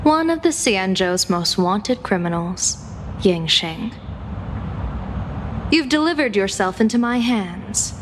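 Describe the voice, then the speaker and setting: A woman voicing a composed female general in a game, measured, formal and coolly authoritative. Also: mid-range voice, expressive, in a large hall, background noise. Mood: stern, accusing. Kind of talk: scripted game voice acting.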